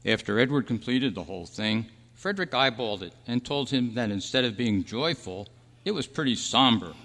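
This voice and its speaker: Male, earnest measured radio-play reader a man acting, medium pitch, big room reverb. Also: animated, fairly bright tone. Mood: wistful, earnest. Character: Roy, dead thirty-three-year-old man's wistful, peaceful spirit. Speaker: actor and announcer. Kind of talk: staged radio drama reading. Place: live club meeting in a hall. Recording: echoey hall with long reverb.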